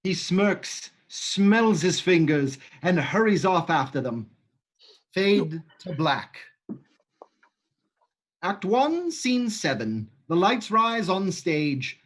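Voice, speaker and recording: A man reading stage directions aloud in an even, matter-of-fact way. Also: sits high, slow. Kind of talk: script reading.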